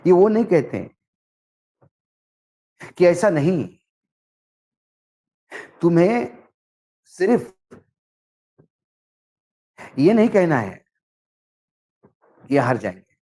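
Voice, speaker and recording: A man giving political commentary, speaking emphatically and deliberately in short phrases as he recounts dialogue. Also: high-pitched, big pitch swings, dark tone. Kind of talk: political commentary, narrating and translating a reported conversation.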